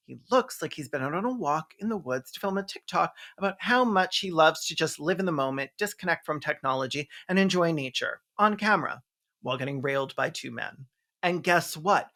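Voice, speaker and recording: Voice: medium pitch, a bit bright. A video host giving sarcastic, mocking movie commentary in an incredulous, campy and slangy way. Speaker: video host, film reviewer.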